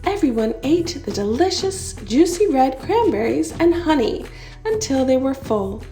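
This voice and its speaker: Lady reading a kids picture book, mellow and slow, bit of music under her. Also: high voice. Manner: unhurried, expressive read-aloud delivery. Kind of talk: expressive children's story narration.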